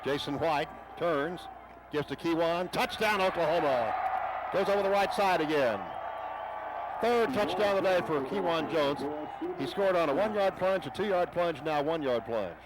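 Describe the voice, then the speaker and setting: A man giving radio play-by-play of a football game, rapid and building to excited shouts on big plays. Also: on the high side, over a noisy crowd, very noisy.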